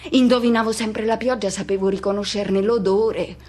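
A woman performing a poetic radio monologue as a man haunted by memories of teenage first love, speaking in halting, insistent fragments. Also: medium pitch, fairly even intonation, a little mellow, lightly acted. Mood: nostalgic, searching. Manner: halting fragments, insistent repetitions, self-questioning.

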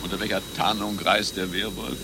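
Over heavy background noise comes a blustering spy boss in a satirical radio play. His voice sits very low. He declaims theatrically and trips into stammers when he gets worked up. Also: flat delivery, fairly quick. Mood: conspiratorial, emphatic. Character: blustering male spy agency boss briefing an underling. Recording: loud background noise behind voice.

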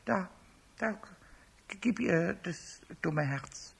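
Impish little male kobold, creaky high voice, swooping intonation, stammering, excitable, children's radio play. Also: very slow, full character voice. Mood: bashful, awkward. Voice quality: high, squeaky, raspy. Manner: stammering, eager, repeating words.

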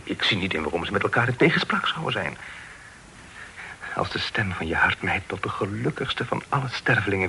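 A man's voice: medium pitch, pushed and bright, a little hiss or hum, rough recording. An actor playing a bashful young man in love in a period radio drama, pleading in hesitant, formal, old-fashioned phrases. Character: earnest, bashful young suitor, hopelessly in love.

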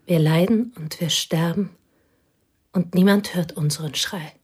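An actress playing a plain, devoted woman who confesses intimately to a pianist in a radio play, her voice husky and often whispered. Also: fairly low pitch, a little slow, a little mellow. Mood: intense. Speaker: confiding companion.

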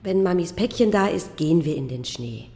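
A woman playing an embarrassed single mother in a radio play, apologetic and gently scolding. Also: on the low side, animated, fairly quick, long reverb tail, faint music behind. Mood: apologetic, flustered.

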